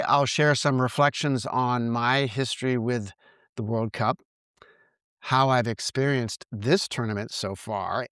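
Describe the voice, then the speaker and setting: A man in his sixties from the United States hosting his own podcast, reading reflective narration in an earnest, measured way. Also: mid-range voice, fairly bright tone, no room sound, studio quality. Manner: earnest, measured, reads from a script. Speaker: podcast host.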